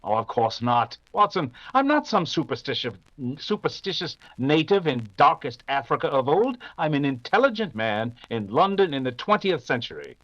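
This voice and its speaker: An actor playing a confident, rational gentleman detective in an old-time radio drama. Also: on the high side, sing-song, moderate pace, few pauses, no room sound, lo-fi sound. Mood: resolute.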